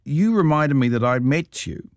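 A man conducting a relaxed interview, asking curious, chatty questions. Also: on the high side, normal speed, no room sound. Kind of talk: conversational interview.

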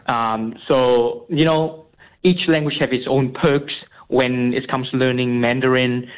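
A man's voice: medium pitch, steady tone, smooth. Young man, first language Vietnamese, phone-line guest, hesitant, lots of fillers. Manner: halting, many fillers and restarts. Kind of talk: radio interview.

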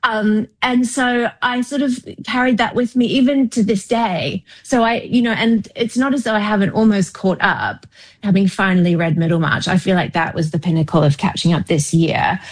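A woman's voice: mid-range voice, normal speed. A woman in her forties from New Zealand, a novelist guest speaking in a wry, reflective, articulate way with frequent "you know" asides. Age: 40s. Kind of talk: casual interview conversation.

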